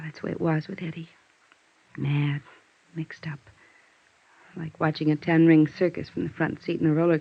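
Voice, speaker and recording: A dull vintage radio recording carries a bitter, guarded widow. Her voice has a smoky creak, and she confides in a weary, wistful, halting way, in the manner of 1950s radio noir. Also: low voice, exaggerated voice. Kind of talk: 1950s radio drama acting.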